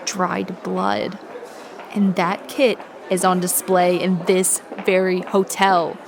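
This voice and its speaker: A woman playing a historian tour guide, narrating scripted history in a measured, dramatic storytelling style. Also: fairly low pitch, little pitch movement, noisy background. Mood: ominous. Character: female historian tour guide telling eerie city history.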